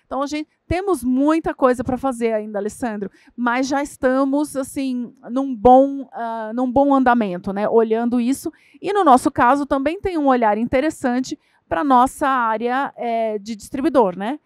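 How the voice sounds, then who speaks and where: A Brazilian woman with a slightly high voice talks through a panel answer in a conversational way, dropping in tag questions and small fillers. She is a corporate diversity lead on a conference stage. The sound is close and dry. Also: normal speed, hardly any pauses, no room sound. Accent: Brazilian Portuguese.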